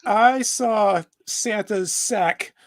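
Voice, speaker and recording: A woman's voice: medium pitch, drawn-out words, a little hiss or hum. A woman at a live comedic table read playing a bossy, scornful cartoon ghost monster.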